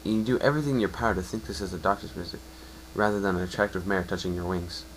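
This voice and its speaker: A man's voice: sits low, a little hiss or hum, poor audio. A man narrating a fan story as an amateur reader, often stumbling and correcting himself. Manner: halting, self-correcting delivery.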